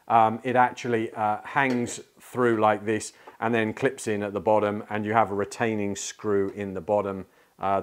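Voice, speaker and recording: A man in his forties presenting a tech product informally to camera, explaining as he goes with hesitant pauses, on a lapel mic in a small studio room. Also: mid-range voice.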